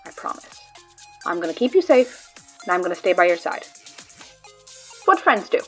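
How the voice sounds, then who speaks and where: A woman's voice: on the low side, big pitch swings, normal speed, faint music behind. A woman playing a brash, teasing and loyal friend who reassures and boasts. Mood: reassuring. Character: Rizara, confident loyal friend and card gamer. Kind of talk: fan audio drama acting.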